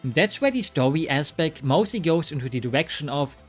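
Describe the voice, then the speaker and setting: A man's voice: on the high side, expressive, fairly quick, faint music behind. A man narrating a scripted game review with wry, joking asides. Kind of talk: scripted commentary.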